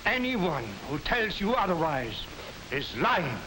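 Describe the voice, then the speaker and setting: An actor playing a dignified, defiant German professor who lectures in a deliberate, didactic way in a 1940s film. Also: male voice, sits high, sing-song, exaggerated voice. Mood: firm, defiant. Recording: echoey, hissy 1940s film soundtrack.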